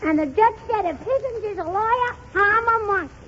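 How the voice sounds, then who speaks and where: Squeaky, pestering little girl. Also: fairly quick, fairly bright tone. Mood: naive, curious. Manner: naive, relentlessly questioning. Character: pestering, innocent-sounding mischievous little girl. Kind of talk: 1930s radio comedy acting. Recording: muffled, hissy 1930s radio recording.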